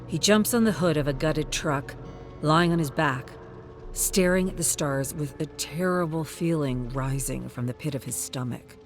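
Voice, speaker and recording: Narrator for dark suspense fiction: medium pitch, measured dramatic read, light music bed. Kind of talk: dramatic scripted audiobook-style narration.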